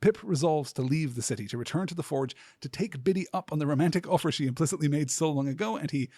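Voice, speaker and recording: Husky man giving literary commentary. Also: fairly high pitch, fast talker, dark tone, no room sound. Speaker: host of a literature podcast. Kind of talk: scripted literary commentary narration.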